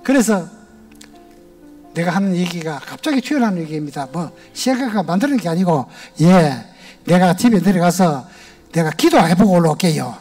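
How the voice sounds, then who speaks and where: An older man speaks with a Gyeongsang accent, a retired elder sharing his testimony in a large church sanctuary. His voice sits high for a man yet sounds mellow and warm. His storytelling is plain and homespun, with a little music in the background. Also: expressive, moderate pace. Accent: Gyeongsang Korean. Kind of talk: personal testimony to a congregation.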